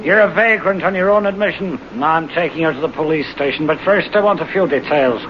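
An actor playing a brisk, condescending policeman in an old-time radio drama, official and dismissive. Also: a little high, close to an everyday voice. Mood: officious. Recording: muffled, noisy old radio recording.

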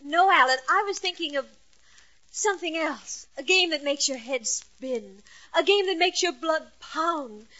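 A man-hungry sweetheart coos and presses her case in old radio comedy style. Her voice is high and bright, on a dull vintage recording. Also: lively intonation, a little slow, full character voice. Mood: seductive. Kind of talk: 1940s radio sitcom acting.

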